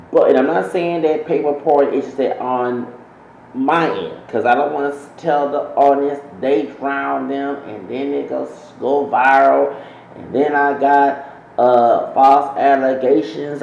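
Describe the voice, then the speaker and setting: A man with a gravelly voice gives casual, opinionated commentary to his channel's audience, circling back and correcting himself as he goes. The sound is dull and roomy, with a faint hiss behind him. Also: mid-range voice, darkish tone. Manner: rambling, self-correcting, opinionated. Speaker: channel host.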